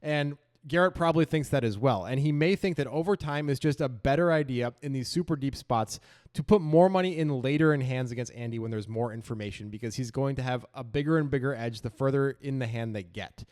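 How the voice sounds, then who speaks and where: A man's voice: medium pitch, fairly quick, darkish tone. A man giving conversational, analytical commentary as a co-host, reasoning through questions and answers. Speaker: poker podcast co-host analysing hands.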